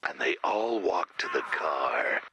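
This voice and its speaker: A hulking video game slasher with an extremely low, cutting voice. He drags his words out slowly, brooding and menacing, yet wounded underneath. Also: big pitch swings, sharp tone, dry recording, heavily acted, poor audio. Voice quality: gravelly, rasping growl. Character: monstrous male slasher killer, brooding, wounded, easily hurt. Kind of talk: video game character acting.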